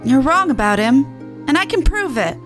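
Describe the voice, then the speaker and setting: A woman voicing an earnest, plain-spoken woman merchant from a video game, in a brisk, colloquial manner. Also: high-pitched, sing-song, faint music behind. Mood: earnest, pleading. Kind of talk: reading video game dialogue in character.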